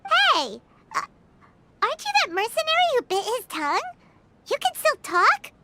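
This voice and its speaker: A woman voicing a tiny, cheerful, childlike fairy companion in a video game, with a squeaky, outspoken, exclamatory delivery. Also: wildly swooping pitch, normal speed, very noisy, full character voice. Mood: cheeky, suspicious. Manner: exclamatory, blunt, refers to self in third person. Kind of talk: animated video game voice acting. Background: music.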